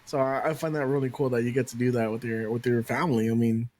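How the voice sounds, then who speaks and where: A man's voice: mid-range voice, level tone, quick pace, a little mellow. A man hosting a podcast, chatting casually and enthusiastically, swearing freely. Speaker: podcast host. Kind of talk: casual interview chat.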